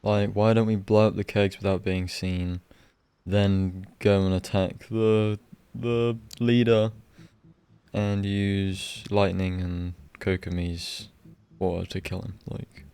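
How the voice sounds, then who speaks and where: Male streamer, low register with vocal fry, informal off-the-cuff gameplay commentary. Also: flat delivery, takes it slowly, warm tone, no room sound. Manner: informal, musing aloud. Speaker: game streamer. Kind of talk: casual live game commentary.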